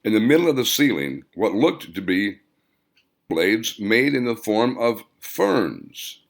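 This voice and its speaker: A man narrates a novel in a low-pitched voice with a gravelly creak. His reading is scripted storytelling, and his pitch moves up and down in a lively way across each phrase. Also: slow pace. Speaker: author reading own novel as audiobook narrator.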